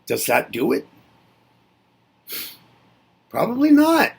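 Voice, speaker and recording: Gravelly, musing male lesson presenter. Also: very high voice, wildly swooping pitch, slow. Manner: musing, repeats himself, asks and answers rhetorical questions. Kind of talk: reflective spoken lesson.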